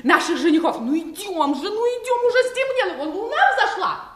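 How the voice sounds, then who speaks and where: Shrill, high, bossy princess. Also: female voice, expressive, moderate pace, reverberant. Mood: impatient. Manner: impatient, commanding. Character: bossy, mean young princess, impatient elder sister. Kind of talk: theatrical fairy-tale radio play acting.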